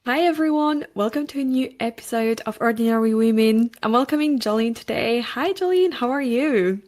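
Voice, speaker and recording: A woman hosting a podcast interview, friendly and upbeat, reacting with quick short affirmations. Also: fairly high pitch, a little mellow. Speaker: podcast host. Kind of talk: casual interview conversation.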